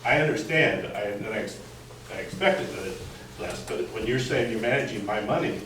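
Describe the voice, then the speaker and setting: An American man speaking up as a resident at a community meeting, halting and repeating words as he presses his skeptical point. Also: on the low side. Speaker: resident questioning a school board. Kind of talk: impromptu public comment at a community meeting. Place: indoor community meeting room, recorded from afar. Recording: echoey room, distant mic, faint noise.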